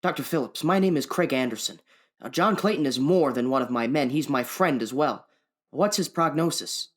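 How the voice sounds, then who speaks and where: A man's voice: mid-range voice, lively intonation, normal speed, fairly bright tone. A man playing an earnest, businesslike Secret Service chief in a radio play. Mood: concerned. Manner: plain-spoken, businesslike.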